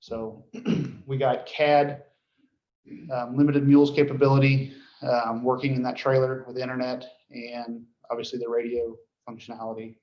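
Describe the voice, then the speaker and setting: Male, mid-pitched, informal meeting reporter with halting delivery, dull echoey room sound. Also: little pitch movement. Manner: halting, frequent throat clearing. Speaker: dispatch director reporting to board.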